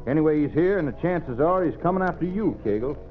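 Dull vintage radio sound; male actor as menacing frontier thug, low folksy Western drawl, animated melody. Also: fast, a little hiss or hum, lightly acted. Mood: worried. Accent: American Western drawl. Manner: folksy, sly. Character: sly, menacing Old West henchman, adult man. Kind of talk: old-time radio western acting. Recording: dull old radio recording.

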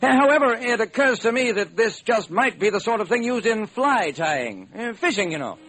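A man's voice: high-pitched, animated, normal speed, a bit bright. An actor playing a fussy, hesitant male club manager with prim, precise speech in an old-time radio drama. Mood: prim, helpful. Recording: noisy old radio recording.

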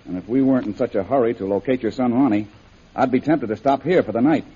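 A commanding safari leader, played by a male actor in a vintage radio serial. The voice is husky and mid-pitched, with firm, declarative delivery, heard on a dull old recording. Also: quick pace, lightly acted. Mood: grimly determined. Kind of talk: 1930s radio serial acting.

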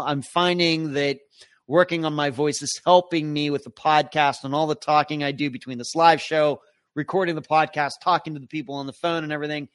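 A man's voice: on the high side, fairly bright tone, clean tone. A man hosting a live podcast, reading out listener comments and answering them in a casual, rambling way. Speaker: podcast host.

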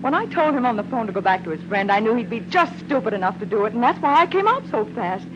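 A woman's voice: fairly high pitch, very animated, fast talker. A tough young American dame in a vintage radio crime drama. She talks in snappy, defiant slang, nervy and guarded. The old recording is muffled and very noisy. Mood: guarded, explaining. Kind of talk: 1940s radio noir acting.

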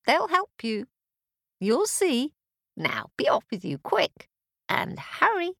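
Woman voicing a high-pitched, meowing storybook cat. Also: huge pitch swings, fairly bright tone, dry recording, clean studio sound, full character voice. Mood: urgent, helpful. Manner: drawn-out meows, catty. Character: talking cat, helpful, meowing, indignant at its mistress.

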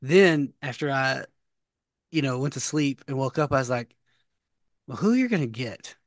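A man's voice: mid-range voice, lively intonation, normal speed, no echo, studio quality. A man co-hosting a casual sports podcast, rambling and repeating himself as he talks. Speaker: podcast co-host.

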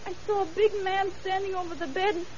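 Scared little boy, tiny high pleading voice, muffled hissy old radio show. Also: fairly even intonation. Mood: anxious recounting. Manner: plaintive, halting childlike delivery. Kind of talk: 1930s-40s crime radio drama acting.

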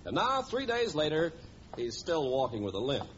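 A man's voice: on the high side, huge pitch swings, normal speed, slight background noise. A man announcing a 1940s radio show, delivering sponsor lines and narration with emphatic, theatrical delivery. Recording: dull vintage radio broadcast. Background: loud music.

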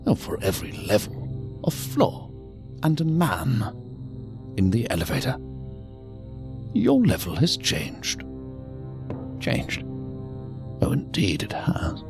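Theatrical, menacingly polite attendant, swooping pitch. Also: male voice, wildly swooping pitch, slow, vocal fry, long pauses, over music, heavily acted. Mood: ominous glee. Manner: ornate, formal, mock-courteous phrasing. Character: eerie, urbane male elevator attendant in green suit, menacingly polite. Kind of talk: science fiction audio drama acting.

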